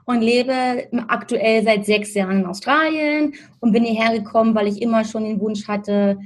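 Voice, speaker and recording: A woman in her thirties from Berlin, a guest telling her story in a relaxed, chatty way, with many fillers and restarts and frequent laughs. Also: a little high, normal speed. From Berlin, Germany. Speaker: interview guest. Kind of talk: casual conversational storytelling. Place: in Australia.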